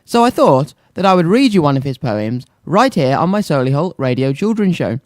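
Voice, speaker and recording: A man's voice: a little high, sing-song, normal speed, a little mellow, no room sound, clean studio sound. A man presenting a children's radio show in a scripted style.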